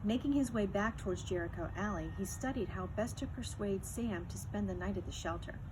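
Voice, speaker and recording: A woman's voice: mid-range voice, a little fast. A woman reading a scripted story aloud as its narrator. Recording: clearly noisy background ambience.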